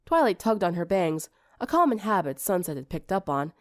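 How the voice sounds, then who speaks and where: A woman's voice: lowish voice, sing-song, a little fast, no room sound, studio quality. A woman reading scripted fiction as an audiobook narrator.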